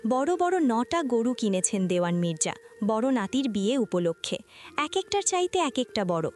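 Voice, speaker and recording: A woman's voice: a little high, very animated, moderate pace, darkish tone. A woman reading scripted narration for a romantic family audio story.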